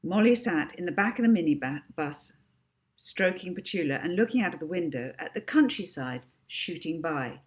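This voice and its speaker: A woman author reading her own children's book aloud as expressive narration. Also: on the low side, normal speed.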